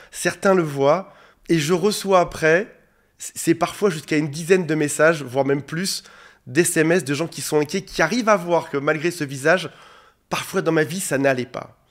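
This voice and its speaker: Man with a head cold, slightly hoarse. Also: on the high side. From France. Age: 40s. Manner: earnest, direct, addresses viewer informally. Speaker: relationship coach. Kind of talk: coaching video monologue. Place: indoor studio under bright lights. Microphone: facing a camera.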